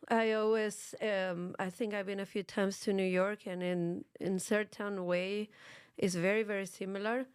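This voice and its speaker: Smooth-voiced woman with Argentine accent. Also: medium pitch, fairly even intonation, moderate pace, no room sound, studio quality. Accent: Argentine Spanish. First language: Spanish. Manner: repeats words, laughs at herself. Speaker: guest, gym coach. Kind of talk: casual interview chat.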